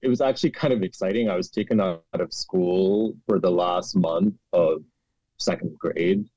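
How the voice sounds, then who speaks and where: A man in his forties, raised in Pakistan, talks in a very low voice, searching for words and doubling back, with a dry wit. The room is dead and dry. The audio is a little muffled and lo-fi. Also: normal speed, dark tone, no echo. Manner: thoughtful, many restarts and fillers, wry. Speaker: guest historian. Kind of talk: reflective conversational interview.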